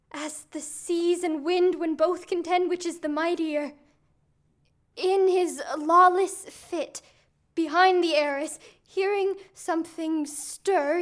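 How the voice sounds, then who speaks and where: Distraught royal mother for an actress, extremely high pitch, unhurried and grave, Shakespearean radio-play style. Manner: slow, grave verse delivery. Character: distraught queen and mother of a mad prince. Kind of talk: Shakespearean audio drama acting.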